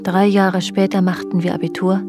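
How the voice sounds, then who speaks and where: A woman's voice: medium pitch, little pitch movement, moderate pace, natural voice. An actress playing an introspective, bitterly observant woman narrating her youth in a radio drama, sober and intimate. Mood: matter-of-fact. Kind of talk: literary first-person radio drama narration. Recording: music behind the voice. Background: music.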